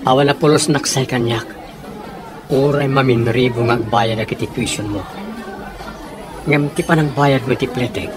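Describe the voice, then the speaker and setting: A man's voice: mid-range voice, normal speed, pauses often, over music, a crowd in the background, natural voice. A man acting as a generous, courting benefactor in a radio drama, speaking earnestly and persuasively. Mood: earnest, grateful. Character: courting, generous male benefactor of a young woman.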